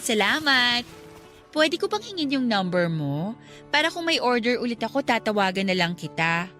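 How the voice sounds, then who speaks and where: A woman's voice: fairly high pitch, big pitch swings, moderate pace, fairly bright tone, soft background music. A woman playing a friendly, teasing young customer in her twenties in a radio drama, with a Filipino accent. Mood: warm, polite.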